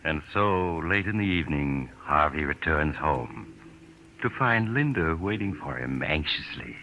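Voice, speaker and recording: An actor as a grave suspense narrator in an old radio drama, speaking in a measured way with dramatic pauses. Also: male voice, a bass voice, lively intonation, bright, forceful tone, full character voice. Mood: grave, suspenseful. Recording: dull, hissy old radio recording.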